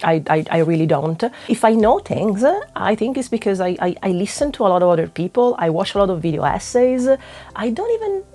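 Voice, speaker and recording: A woman's voice: medium pitch, big pitch swings, brisk, dark tone, soft background music. A young adult woman from northwest Italy who speaks English with an Italian accent, candid and self-deprecating in a casual vlog to camera, often restarting her words. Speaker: witchcraft YouTuber.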